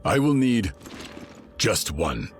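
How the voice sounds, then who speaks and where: A man's voice: lowish voice, huge pitch swings, slow, faint music behind, full character voice. A man playing a proud, honourable ancient warrior general, formal and solemn, in a video game cutscene. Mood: resolute.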